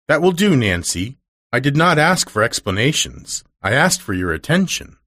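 A man's voice: medium pitch, sing-song, moderate pace, pushed and bright, voice pushed up. A man voicing a stern, severe, wealthy middle-aged woman who speaks stiffly and dryly, in a storybook reading.

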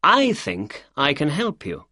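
A narrator playing a confident, shrewd male detective in an audiobook story, courteous, deliberate and assured. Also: medium pitch, huge pitch swings, pushed and bright, no room sound, clean studio sound, voice pushed up, full character voice. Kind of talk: audiobook character dialogue.

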